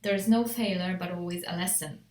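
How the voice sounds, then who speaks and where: A woman reads a scripted project presentation as an interior designer, in a measured, formal way. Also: on the low side, steady tone, a little mellow. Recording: echoey untreated room.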